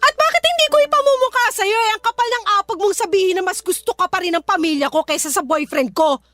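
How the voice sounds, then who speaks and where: A woman's voice: extremely high pitch, quick pace, bright, forceful tone, no echo. A woman playing a hurt, indignant young woman in a radio drama, scolding and emphatic, with a Filipino accent. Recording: music behind the voice.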